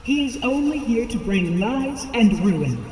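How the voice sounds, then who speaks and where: A woman playing a calm alien machine lifeform, speaking formally and precisely in a sci-fi audio drama. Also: fairly high pitch, a little slow, heavy background noise. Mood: calm, grave.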